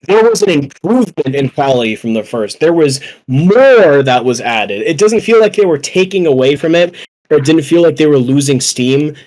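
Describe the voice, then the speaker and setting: A man's voice: a little high, lively intonation, normal speed, a little mellow, rough recording. A young man talks movies on a casual podcast. He is slangy and jokey, swears easily, and slips into imagined quotes to make his point. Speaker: podcast panelist. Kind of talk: casual chat about movies.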